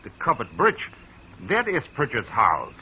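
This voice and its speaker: An actor playing a hesitant, excitable rural man in an old radio drama, his voice wavering. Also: mid-range voice, a little slow, husky, exaggerated voice. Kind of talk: 1940s radio acting. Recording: dull old radio recording.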